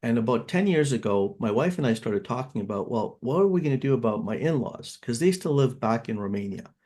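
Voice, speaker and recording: A man's voice: medium pitch, expressive, fairly quick, warm tone. A man in his fifties who grew up in Canada, hosting an online seminar as a financial advisor and speaking in a casual, conversational way with frequent filler words. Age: 50s.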